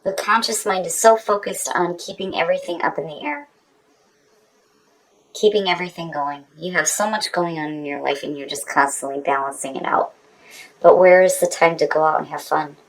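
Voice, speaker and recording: A woman's voice: on the low side, normal speed, poor audio. A woman speaking as a tarot reader in a casual, conversational style, with an informal, emphatic manner.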